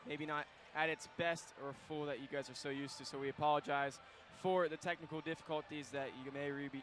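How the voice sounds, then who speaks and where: Bright, excited young man calling pregame. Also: a little high, brisk. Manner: excited, rambling with fillers. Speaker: student radio pregame host. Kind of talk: live basketball pregame talk. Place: courtside in a packed arena. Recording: faint arena noise behind.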